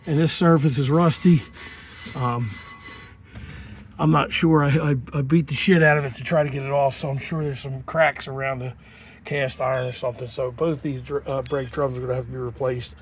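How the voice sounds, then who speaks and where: A man's voice: mid-range voice, steady tone, moderate pace, slight background noise. Man, casual garage how-to talk, rambling with fillers, sniffling from a cold, outdoors. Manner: rambling, unpolished, with many fillers and restarts. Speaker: home mechanic.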